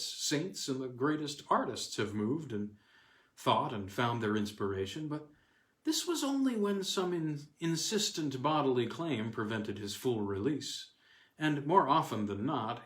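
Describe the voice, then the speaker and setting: A man reading literary weird fiction aloud as narrator, in an expressive, measured way with dramatic stresses and pauses. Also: medium pitch. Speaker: narrator and host of a story reading series. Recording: roomy, echoey sound.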